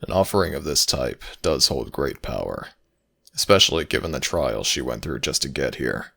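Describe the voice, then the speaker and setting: A man voicing a stern, gruff male Egyptian god of the dead in a dramatic audio roleplay, speaking formally and demandingly. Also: rumbling low, sing-song, moderate pace, pushed and bright, voice pushed down, heavily acted. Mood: reluctant, solemn.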